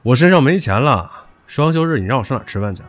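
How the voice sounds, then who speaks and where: A man's voice: mid-range voice, lively intonation, fast, close to an everyday voice. An actor in an audio drama playing a pushy, teasing young man who talks crudely and with swagger.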